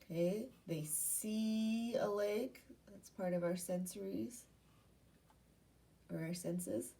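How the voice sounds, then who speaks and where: Slow-talking woman teaching young children, distant mic. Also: medium pitch, fairly even intonation, gravelly, mellow. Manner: instructional, asks questions and repeats key points. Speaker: primary school teacher. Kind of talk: interactive read-aloud lesson for young children.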